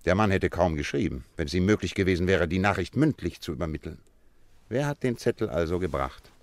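An actor playing a confident, courteous detective with a keen analytical mind, precise and polite in a German radio play. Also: male voice, low-pitched, expressive, fairly quick, no room sound.

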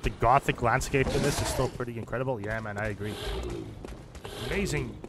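A man's voice: medium pitch, brisk. Guy in his 30s streaming a game on a headset, chatty, some noise behind him. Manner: chatty, reacting to the game and to chat. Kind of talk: casual gameplay commentary. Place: home streaming room.

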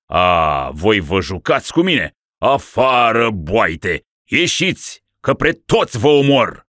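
A hoarse, raving old-world prince on his deathbed, shouting and vengeful, as a man puts him on. The voice climbs far above the reader's deep natural tone and booms out loud. It is recorded close and dry. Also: very animated, dry recording. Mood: furious. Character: dying deposed male prince, raving, furious, vengeful. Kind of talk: audiobook reading of a classic story.

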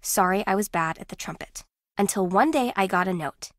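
A woman playing an aloof, competitive, secretive contestant in a video game, with a deadpan, clipped manner. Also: mid-range voice, fairly quick, no room sound, studio quality, close to an everyday voice. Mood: cool, dismissive. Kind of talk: video game character acting.

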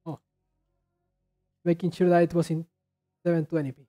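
A man giving casual commentary as a reaction video host, rambling haltingly and often repeating and restarting his words. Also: on the high side, flat delivery, dark tone, faint music behind. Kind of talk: casual commentary on game trailers.

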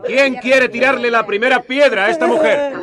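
Bold, taunting prophet for radio drama: high male voice, crowd around him. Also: moderate pace. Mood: sardonic, challenging. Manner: pointed, taunting rhetorical questions. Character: bold sardonic prophet from Galilee, defender of outcasts. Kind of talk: colloquial radio drama acting. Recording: crowd noise behind the voice.

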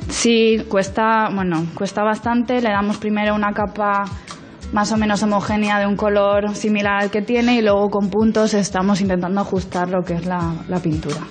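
A woman's voice: mid-range voice, level tone, moderate pace, soft background music. A woman art restorer explaining her work informally in an interview.

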